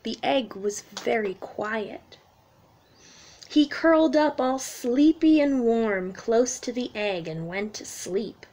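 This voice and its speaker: A woman's voice: on the high side, slow pace. A woman in her thirties, a children's teacher, reading a picture book aloud expressively to young children. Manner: expressive, simple explanations addressed to young children. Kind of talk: children's storytime read-aloud. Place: small room at home, indoors.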